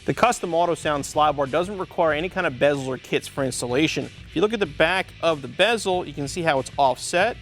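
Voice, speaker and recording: A man presenting an instructional product demonstration as a tutorial host, explaining things clearly step by step. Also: a little high, brisk, few pauses, over music. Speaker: host of car parts installation tutorial.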